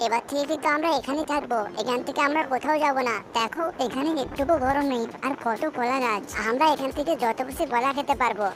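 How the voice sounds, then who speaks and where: A woman's voice: sits high, quick pace, few pauses, lightly acted, poor audio. A woman voicing a young, earnest bird chick who pleads like a child in a cartoon, with music underneath. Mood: happy, pleading. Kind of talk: cartoon character dialogue.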